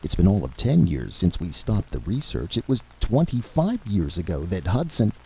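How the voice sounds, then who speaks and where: A man voicing a troubled president, low and creaky. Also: very animated, moderate pace, mellow, exaggerated voice. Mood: troubled disbelief. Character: troubled, incredulous male American president. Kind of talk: dramatic audiobook-style reading. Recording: dull lo-fi recording with noise.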